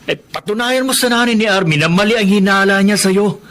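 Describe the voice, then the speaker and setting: A man's voice: high-pitched, a little fast, fairly bright tone. A man playing a breezy young friend in a Filipino radio drama, casual and reassuring. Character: breezy young male drinking buddy giving advice.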